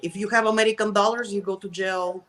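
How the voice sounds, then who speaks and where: A woman's voice: medium pitch, fairly even intonation, fairly bright tone. A middle-aged woman from Russia with a Russian accent, answering casually in an interview and sometimes searching for words in English. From Russia, Soviet Union. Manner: halting, searching for words in non-native English. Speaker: interview guest.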